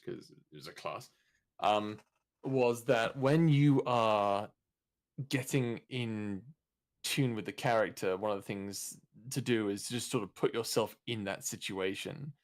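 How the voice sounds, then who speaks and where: A man's voice: lowish voice, unhurried. A man chatting casually as a guest voice actor, joking in a mild, hesitant way. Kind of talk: casual chat.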